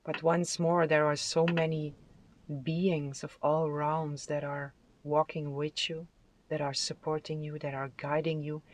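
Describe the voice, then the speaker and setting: Slow, soothing tarot card reader. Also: medium pitch, flat delivery. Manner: soft, soothing, unhurried delivery with drawn-out phrases. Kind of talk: spiritual tarot reading with affirmations.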